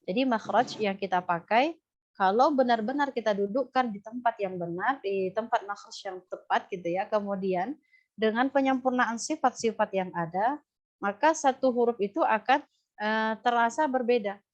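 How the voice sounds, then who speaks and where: Higher-voiced Indonesian woman teaching, dull audio. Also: normal speed. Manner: explanatory, demonstrating letter sounds. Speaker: Quran recitation teacher. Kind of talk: live lesson answering student questions.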